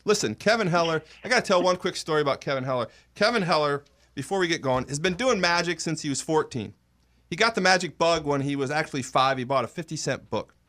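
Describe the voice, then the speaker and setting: Guy hosting a talk show, kinda high voice, rambling and joking around. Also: animated, fast, bright, forceful tone. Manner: jokey, rambling, frequent laughs and fillers. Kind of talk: casual radio talk show chat.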